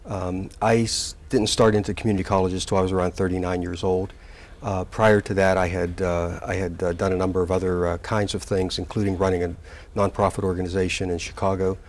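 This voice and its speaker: Older man, sixties, deep voice; unhurried interview guest who hesitates and restarts often. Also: level tone. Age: 60s. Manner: halting, with many fillers and restarts. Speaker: community college president, guest. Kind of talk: relaxed interview recollection.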